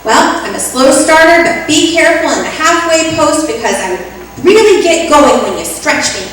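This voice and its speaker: A woman's voice: high-pitched, lively intonation, moderate pace, a bit bright. A woman playing a sweet, scatterbrained young secretary in old-time radio comedy style, naive and earnest. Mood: naive, eager. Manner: naive, muddles phrases. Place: echoey room, staged radio show. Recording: very echoey room, distant mic, faint noise.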